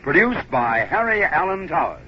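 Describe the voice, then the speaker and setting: Dramatic, highly animated male radio announcer. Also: moderate pace. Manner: formal, declamatory. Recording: very noisy, muffled old radio recording.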